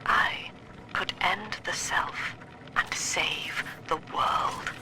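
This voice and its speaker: An actress playing a cold, cerebral middle-aged psychiatrist and cult leader in a video game, speaking in a formal, precise, solemn manner. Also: female voice, mid-range voice, drawn-out words, in a whisper, poor audio. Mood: hushed, awed. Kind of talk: dramatic video game monologue.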